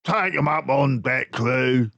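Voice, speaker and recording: A man voicing a menacing mouse gangster in a storybook, taunting in rough slang. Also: medium pitch, takes it slowly, fairly bright tone, no room sound, muffled recording, pitched above the performer's own voice, full character voice. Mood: jeering. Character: menacing, jeering male mouse gangster villain.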